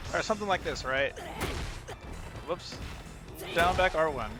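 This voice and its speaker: Casual man coaching over loud game music. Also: fairly high pitch, expressive, a little slow. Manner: informal, thinking aloud, hesitant, repeats phrases. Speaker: gaming video creator giving match analysis. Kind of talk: casual unscripted coaching commentary over fighting game replay. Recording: game music and battle sounds behind voice. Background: loud fighting game music and combat effects.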